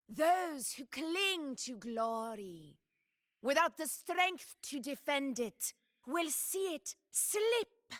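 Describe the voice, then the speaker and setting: Grand, bright-voiced ruler addressing warriors. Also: female voice, high voice, no room sound, studio quality, exaggerated voice. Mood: rousing, grand. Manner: grand, solemn, declamatory. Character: proud commanding female ruler addressing gladiators. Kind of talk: ceremonial speech in game voice acting.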